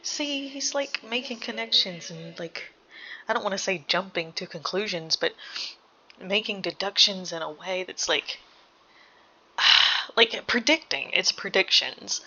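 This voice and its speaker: A woman giving casual, analytical game reaction commentary, hesitant and thinking out loud. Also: medium pitch, measured pace, highs cut off. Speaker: reaction video host.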